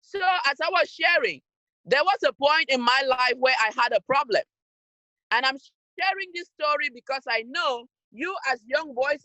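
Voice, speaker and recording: A woman's voice: sits high, pushed and bright, no echo, muffled recording. A woman from Cameroon, giving an emphatic, scolding motivational talk to young people over a video call. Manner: emphatic, scolding, direct to listeners. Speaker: youth program speaker.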